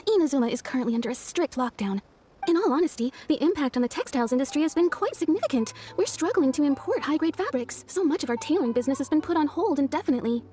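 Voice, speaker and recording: A woman's voice: sits high, dark tone. A woman playing a courteous, businesslike kimono shopkeeper in an anime game, deferential and eager to please. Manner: deferential, customer-service politeness. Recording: faint background music.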